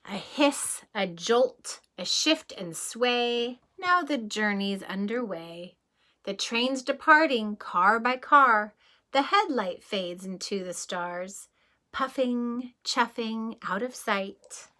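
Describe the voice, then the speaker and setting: A woman kindergarten teacher reading a rhyming picture book aloud in a slow, sing-song way, pausing to ask the children gentle questions. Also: fairly high pitch, animated.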